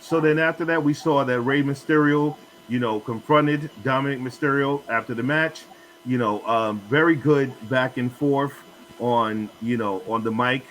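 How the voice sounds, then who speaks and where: A man's voice: mid-range voice, moderate pace, fairly bright tone, low-quality recording. A man hosting a casual wrestling podcast, recapping matches in a rambling way full of fillers. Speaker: podcast host. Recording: faint hum and noise.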